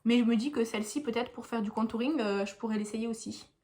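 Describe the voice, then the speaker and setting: A woman's voice: a little high, steady tone, quick pace, darkish tone. Stuffy-nosed young woman rambling casually. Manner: chatty and meandering, full of hesitations. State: stuffy nose from a cold. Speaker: beauty vlogger. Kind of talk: casual vlog chat.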